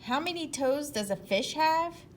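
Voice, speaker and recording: Lady doing a goofy loud penguin for a kids book, hearty, takes it slow, some background noise. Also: high voice, lively intonation, pitched above the performer's own voice. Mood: loud, cheerful. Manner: hearty, blaring. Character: cheerful, loud, oddball penguin.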